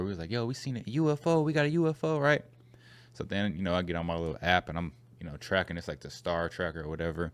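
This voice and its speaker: A man hosting a reaction video, talking casually in a slangy, easygoing way as he comments on clips. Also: deep voice. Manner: laid-back, conversational, slangy, muses aloud.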